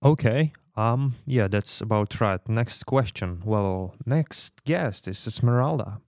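Podcast host, played by a man: lowish voice, close dry sound, polite and reassuring. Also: takes it slowly, a little mellow, no room sound. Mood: welcoming, reassuring. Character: welcoming male radio host of a misfit refuge in 2050. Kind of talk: fiction podcast interview, semi-improvised.

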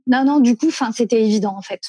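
A French woman in her thirties, telling about family travels in a relaxed, rambling way with many fillers and self-corrections. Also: a little high, fast, slightly rough, dry recording. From France. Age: 30s. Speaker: guest. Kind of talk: casual conversational interview.

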